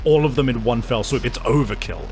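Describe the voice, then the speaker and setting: A man running a tabletop roleplaying game as game master, narrating in a casual, excitable, sweary way. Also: medium pitch, fairly quick. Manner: casual, profane, excitable storytelling. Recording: music playing behind the voice. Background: background music.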